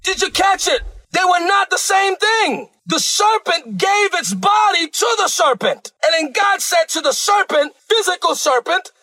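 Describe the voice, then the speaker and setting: Man, preacher, very high voice, shouting, fervent, animated, asks his own questions. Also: unhurried, few pauses, low-quality recording. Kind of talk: fiery sermon.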